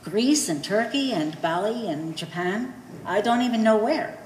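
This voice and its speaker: A woman's voice: medium pitch, animated, a little slow, lightly acted. A woman playing a blunt, scornful old Polish immigrant mother in a stage play, speaking broken English with a Polish accent. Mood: proud, fond. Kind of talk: one-act stage play performance. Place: gallery stage performance, indoors. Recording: echoey hall with faint noise.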